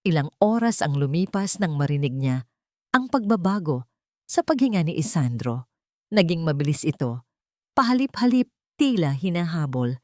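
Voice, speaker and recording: A woman narrating a scripted love story in a measured, solemn, dramatic manner. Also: low-pitched, sing-song, a little mellow, no room sound, clean studio sound.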